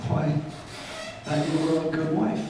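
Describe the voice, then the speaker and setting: A man plays a humble father in a live radio drama, voice a little high. He speaks with halting, earnest tenderness through his grief. It is picked up from a distance with heavy echo and faint noise. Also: warm tone, natural voice. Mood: moved, grateful. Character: gentle grieving poor father, tender and humble. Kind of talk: live stage radio play. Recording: very echoey stage, distant mic, faint noise.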